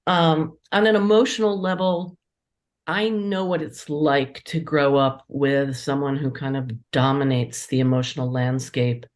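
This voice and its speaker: A woman with a distinctly low-pitched voice gives conversational answers in an interview. She speaks as a writer about her work, with an appreciative, enthusiastic manner. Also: steady tone, unhurried. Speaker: interviewed playwright and editor.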